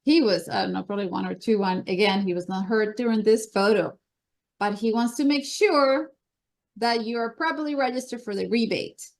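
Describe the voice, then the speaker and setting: A woman's voice: medium pitch, normal speed. A woman with a Colombian accent hosting a meeting, presenting slides with chatty enthusiasm. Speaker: meeting host. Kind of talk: upbeat meeting announcements.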